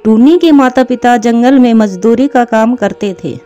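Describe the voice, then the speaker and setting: A woman's voice: a little high, moderate pace, mellow, lo-fi sound, faint music behind. A woman narrating a scripted children's story.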